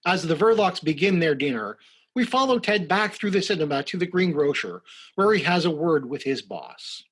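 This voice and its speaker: A man's voice: a little high, sing-song, normal speed, fairly bright tone, breathy edge, full character voice. A man narrating a live radio play in a scripted storytelling style.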